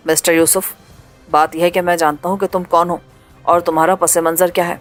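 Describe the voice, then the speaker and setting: A woman voicing a cold, ruthless male pirate leader who talks in a calm, mocking, menacing way. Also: fairly low pitch, faint music behind, voice pushed down, lightly acted.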